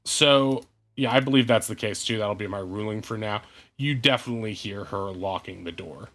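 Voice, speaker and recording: A man running an online tabletop game as game master, speaking in a measured way with many hesitant fillers. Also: on the low side, fairly bright tone.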